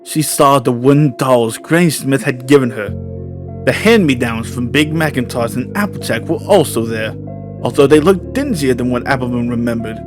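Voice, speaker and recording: A man reading scripted horror fiction as narrator in a measured, solemn storytelling manner. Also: medium pitch, lively intonation, over music.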